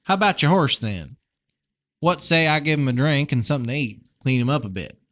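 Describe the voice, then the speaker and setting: A man's voice: medium pitch, animated, brisk, fairly bright tone, no room sound, natural voice. A man reading a novel aloud, voicing a friendly, helpful rural man in a chatty, folksy way. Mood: friendly, eager.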